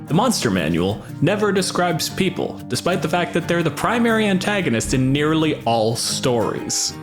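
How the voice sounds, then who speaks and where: A man's voice: fairly high pitch, sing-song, normal speed, over music. A man co-hosting a podcast, wry and wordy in his delivery. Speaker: podcast co-host. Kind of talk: scripted intro and wry podcast discussion.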